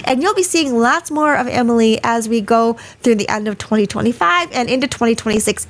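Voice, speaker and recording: A woman with a high voice hosts a live webinar from a small studio. She sounds a little hoarse from a cold. She talks in a chatty way and greets attendees one after another. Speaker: webinar host. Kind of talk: casual live webinar hosting. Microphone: studio mic.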